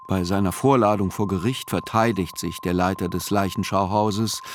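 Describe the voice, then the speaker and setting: A man reads a scripted literary radio narration in a measured, sober way. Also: low voice, steady tone, noisy background.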